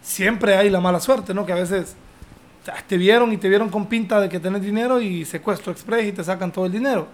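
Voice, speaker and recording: A young Honduran man with a fairly high, light voice talks in an easy, joking way. He hosts a relaxed conversation, chatting and teasing his guest. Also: fairly quick. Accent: Honduran Spanish. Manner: joking, chatty, uses vos. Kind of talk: casual chat.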